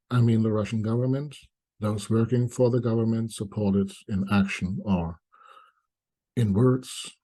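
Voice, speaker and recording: Middle aged guy, mellow n kinda raspy, talking thru his thoughts carefully in short bits. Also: fairly low pitch. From East Germany. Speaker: commentator presenting a video essay. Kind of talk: reflective spoken commentary to viewers.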